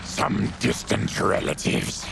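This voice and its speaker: A man playing a grim, afflicted outcast guide in a video game, terse and solemn with a gravelly voice. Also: takes it slowly, noisy background, close to an everyday voice. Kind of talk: video game cutscene acting. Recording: game music underneath.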